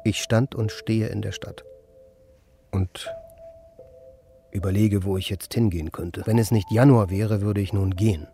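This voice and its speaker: An actor playing an aimless city drifter in a radio play, talking and musing in short, dry phrases. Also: male voice, lowish voice, steady tone, a little slow, long pauses, warm tone, faint music behind. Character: aimless, plain-spoken male city drifter. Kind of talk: radio play dialogue and first-person narration.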